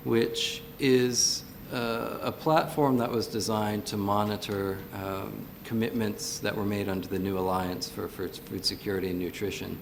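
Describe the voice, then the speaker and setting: Low gravelly male panelist, earnest, long hall echo. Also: fairly even intonation, measured pace, few pauses. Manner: earnest, fillers and restarts. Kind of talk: conference panel remarks. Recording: gravelly voice in a reverberant hall, faint rumble.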